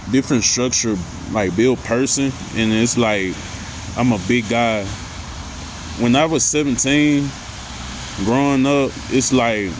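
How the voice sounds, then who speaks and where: A man with a deep voice talking loosely about anxiety and growing up, with lots of slang and filler phrases. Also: slight background noise. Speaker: vlogger. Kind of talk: casual motivational talk.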